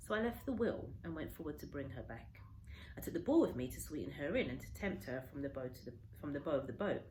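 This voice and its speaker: A woman's voice: deep voice, a little hiss or hum. Woman reading a story, echoey room. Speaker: teacher reading a story aloud. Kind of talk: expressive children's story reading. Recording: roomy, echoey indoor sound.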